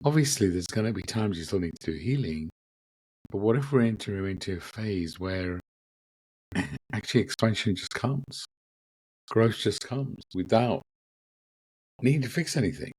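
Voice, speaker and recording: A man hosting a spiritual podcast, gently reflective, his voice a bit croaky from a lingering cough. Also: on the low side, animated, normal speed, long pauses, no echo, poor audio. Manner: gentle, reflective, with frequent ums and repeats. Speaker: podcast host. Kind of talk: casual spiritual podcast talk.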